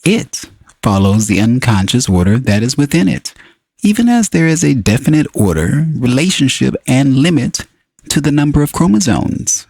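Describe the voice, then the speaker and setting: A man narrating a book as an audiobook reader, in deliberate, solemn phrases broken by frequent pauses. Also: medium pitch, very animated, vocal fry, darkish tone, slightly rough, no echo.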